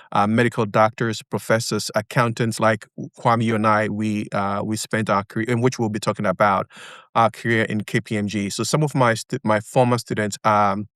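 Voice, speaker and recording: A man's voice: on the low side, a bit bright, clear, resonant tone, no echo, studio quality. A man in his fifties, born in Ghana, speaking as a guest in an interview with frequent hesitations. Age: 50s. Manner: measured, many hesitations. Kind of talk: interview conversation.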